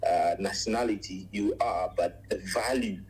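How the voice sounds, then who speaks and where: Ghanaian-born man, 40s, low husky voice, unhurried radio guest who pauses a lot. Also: steady tone, darkish tone. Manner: measured, frequent "uh" pauses, lists things at length. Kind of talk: radio interview, recounting life story.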